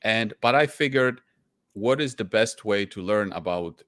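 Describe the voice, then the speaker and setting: A man's voice: lowish voice, expressive, measured pace, a bit bright, no echo, clean studio sound. A man, a guest candidly telling his story in an interview and often restarting his sentences. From Poland. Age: 40s. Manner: candid and reflective, with frequent restarts. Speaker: guest, stock investor.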